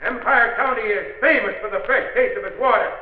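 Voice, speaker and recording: A man's voice: very high-pitched, fairly quick. A man playing a stubborn, indignant civic opponent in a 1940s radio drama, orating emphatically and repeating his phrases. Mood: argumentative. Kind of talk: 1940s radio drama acting, public oratory. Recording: lo-fi echoey old radio transcription.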